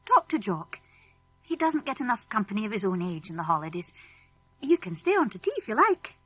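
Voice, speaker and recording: A woman's voice: mid-range voice, very animated, fairly quick, a bit bright, slightly rough, no room sound. A woman voicing a kindly, hospitable farmer's wife with a British accent in a children's audiobook. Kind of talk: children's audiobook character acting.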